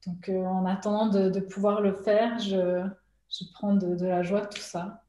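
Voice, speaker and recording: A woman's voice: mid-range voice, flat delivery, moderate pace, mellow. A woman, chatting as an interview guest, hesitant with lots of fillers and frequent laughter. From Switzerland. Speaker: guest, artist and illustrator. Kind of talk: casual conversational interview. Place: at home during lockdown. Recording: echoey room.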